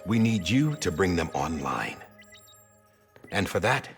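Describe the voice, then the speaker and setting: An actor playing a grave, persuasive government official in a video game, speaking with earnest urging. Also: male voice, deep voice, sing-song, unhurried, vocal fry, soft background music, heavily acted. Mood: earnest, instructive. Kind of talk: cinematic video game acting.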